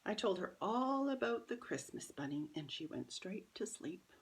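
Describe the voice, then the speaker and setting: Elderly storybook great-aunt played by a woman reader, playful, doting, sing-song, with some creak. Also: mid-range voice, sing-song, moderate pace. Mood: chatty, playful. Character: doting, whimsical elderly great-aunt fond of bunny tales. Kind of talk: children's storybook character voice.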